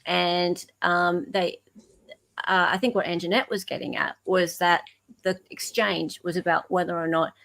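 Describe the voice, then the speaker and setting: A woman with an Australian accent co-hosting a casual discussion of legal emails, explaining things in a halting, self-correcting way. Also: lowish voice, fairly even intonation, creaky, fairly bright tone. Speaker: podcast co-host.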